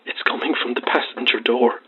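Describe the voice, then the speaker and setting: Over a phone line, an actor with an Irish accent plays an ominous late-night caller, husky, cryptic and foreboding, in a suspense audio drama. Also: male voice, medium pitch, steady tone, brisk, noisy background. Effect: phone line filter. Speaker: caller to a radio show.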